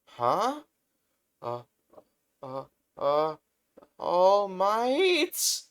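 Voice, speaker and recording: A man voicing an excitable, nervous teenage boy who idolizes a hero, stammering and flustered in a fan-told story. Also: mid-range voice, slow, pitched above the performer's own voice, lightly acted. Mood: flustered, eager.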